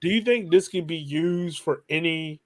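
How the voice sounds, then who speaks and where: A man's voice: a little high, moderate pace. Casual male podcast host. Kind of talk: casual conversational interview, asking long questions.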